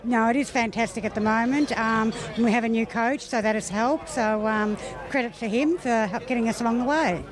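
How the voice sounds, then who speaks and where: A woman's voice: fairly high pitch, a little fast, crowd noise. A woman, the club secretary, giving brief, cheerful answers outdoors.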